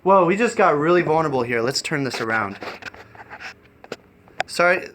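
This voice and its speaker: A man chatting off the cuff on a livestream, rambling and halting in an eccentric way. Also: on the high side, animated, noisy background. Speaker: live streamer and variety performer. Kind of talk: improvised livestream chat.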